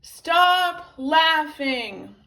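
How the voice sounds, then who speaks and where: A woman voicing a pompous, furious male professor villain in a children's audiobook, declaiming loudly and indignantly. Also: high-pitched, lively intonation, very slow, gravelly, voice pushed up, heavily acted.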